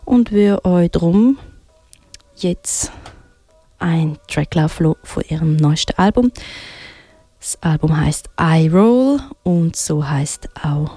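A woman's voice: sits low, animated, takes it slowly, long pauses, warm tone. A woman with a Swiss German accent presenting music on the radio in a casual, conversational, informal way. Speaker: radio host.

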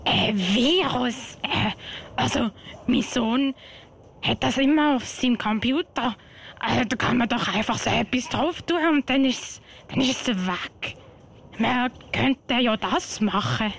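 Loud, muddled old Swiss German lady played by a woman. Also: fairly high pitch, vocal fry, slightly rough, exaggerated voice. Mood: confused. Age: older. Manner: muddled, repetitive, halting. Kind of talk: amateur radio play acting. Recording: noisy amateur radio play recording.

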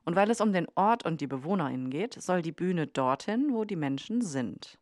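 A woman's voice: fairly low pitch, animated, normal speed, darkish tone, dry recording, studio quality. A woman narrating a documentary podcast from a script.

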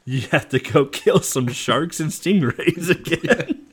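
A man's voice: fairly high pitch, animated, slow, fairly bright tone, breathy edge. A man casually commenting on a retro game he is playing, rambling with fillers and frequent laughs.

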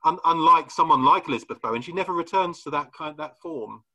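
A man hosting a scholarly podcast, his voice bright and forceful with a husky edge, and pitched somewhat high for a man. He builds each point by repeating and restarting words as he goes. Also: lively intonation, fast, muffled recording. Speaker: podcast host. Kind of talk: academic podcast discussion.

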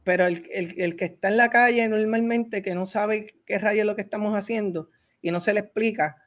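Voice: medium pitch, steady tone, fairly quick. Puerto Rican podcast host, rambling talk. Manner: rambling, repeats words while gathering thoughts. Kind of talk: casual discussion.

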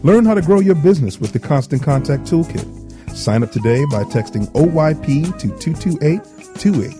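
A man announcing a sponsor message in a clear, measured, scripted read. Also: a little high, expressive, music in the background.

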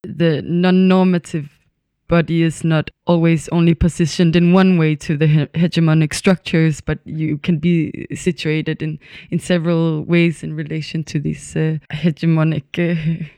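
Thoughtful young dancer, smooth voice. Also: medium pitch, little pitch movement, warm tone, no room sound. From Denmark. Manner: thoughtful, hesitant, many pauses and fillers. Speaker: dance student. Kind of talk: reflective conversation.

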